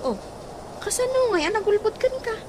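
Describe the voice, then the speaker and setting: An excitable, gossipy Ilocano woman friend in a radio comedy drama. Her high voice swoops up and down, and there is clear noise on the recording. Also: a little fast. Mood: curious. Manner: animated, exclamatory, gossipy.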